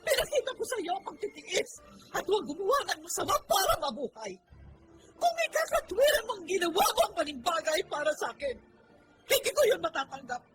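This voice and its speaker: A woman's voice: extremely high pitch, very animated, brisk. A woman playing an anguished, righteous, long-suffering mother in a Filipino radio drama, pleading through tears with a Filipino accent. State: crying. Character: anguished, upright, long-suffering mother scolding her son. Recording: noisy track with clicks.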